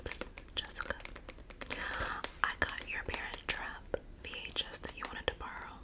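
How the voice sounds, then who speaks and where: A woman whispering softly in an ASMR roleplay as a friendly, gossipy schoolgirl classmate. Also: moderate pace. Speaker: ASMR performer.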